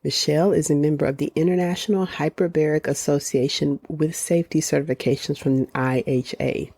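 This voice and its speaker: A woman hosting a podcast, reading a slow, deliberate introduction before her interview. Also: low voice, fairly even intonation, dark tone, husky. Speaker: podcast host. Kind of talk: scripted intro, then interview questions.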